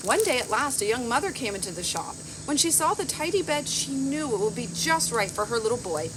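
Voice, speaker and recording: A woman around forty reading a picture book aloud in a measured, expressive storytelling way. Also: fairly high pitch. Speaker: library storytime reader. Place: outdoors on a garden bench. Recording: outdoor background noise with insects.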